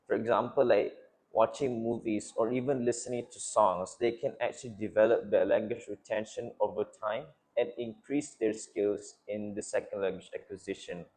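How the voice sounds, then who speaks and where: A man's voice: on the low side, normal speed, a little mellow, rough recording. A young man answers as a panelist in a student panel discussion, fluent and formal in a lecturing way.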